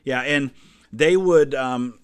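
A man's voice: mid-range voice, takes it slowly, clean tone, no echo. A middle-aged American man giving casual, chatty explanatory commentary in a small indoor studio. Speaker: host reacting to a history quiz video.

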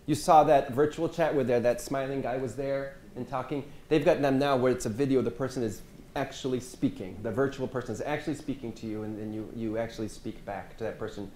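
Mellow-voiced man presenting in an echoey room. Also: mid-range voice, fairly quick. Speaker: French language instructor. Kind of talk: workshop presentation and discussion. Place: medium-sized seminar room with audience. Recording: echoey room, faint hiss.

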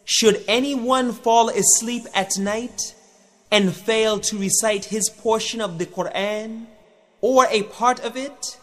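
A man narrating a scripted religious audiobook of translations in a measured, solemn manner. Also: sits high.

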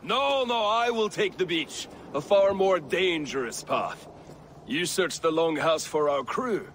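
A boastful Viking warrior blusters and mocks. His slightly high voice rises and falls widely, in the gruff manner of a game cutscene. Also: expressive, a little slow, fairly bright tone, a little hiss or hum. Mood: boastful, mocking. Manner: blustering, mocking. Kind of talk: dramatic game cutscene acting.

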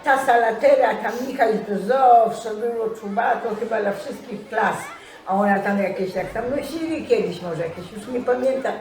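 High-voiced older woman chatting. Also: moderate pace, a little mellow. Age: 60s or older. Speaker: senior sharing memories. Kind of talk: casual group reminiscence.

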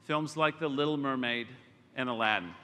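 A man's voice: mid-range voice, normal speed, bright, forceful tone. A middle-aged man presenting and proudly announcing films on a large arena stage. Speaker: studio executive presenting on stage. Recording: arena sound with long reverb.

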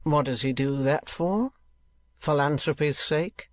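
A man's voice: on the high side, dry recording, close to an everyday voice. Man playing a dry, sneering moneylender. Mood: sardonic. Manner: dry, contemptuous, deliberate mockery. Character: cold, sneering, sardonic male moneylender.

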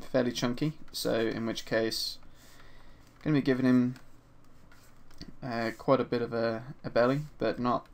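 A man with a slightly hoarse voice from a sore throat narrates a screen-recorded 3D sculpting tutorial in a relaxed, chatty way, with frequent ums and uhs. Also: mid-range voice, unhurried. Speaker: 3D art instructor. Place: at his desk, voice-over for a screen recording.

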